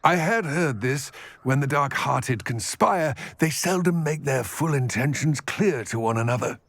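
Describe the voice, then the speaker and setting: A man voicing a solemn, measured male goblin ally in a video game, speaking in a formal, grave and deliberate way with a husky voice. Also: medium pitch, animated, fairly bright tone, no room sound.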